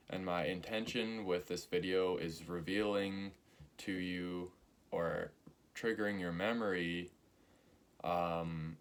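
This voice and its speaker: A man in his early twenties giving a spiritual talk, slow and halting, with many pauses and fillers. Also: low voice. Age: 20s. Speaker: spiritual teacher.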